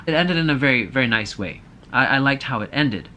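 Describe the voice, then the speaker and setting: A man's voice: medium pitch, expressive, fairly quick, bright, forceful tone. A man giving a casual, opinionated movie review, thinking aloud in a hesitant, apologetic way.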